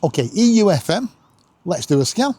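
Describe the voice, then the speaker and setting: A man with a high, lively voice hosts a casual vlog. His pitch swings about freely as he chats in a jokey, self-deprecating way, often tacking questions onto his sentences. There is some background noise around him. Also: normal speed. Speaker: vlog host. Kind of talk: casual, chatty video vlog.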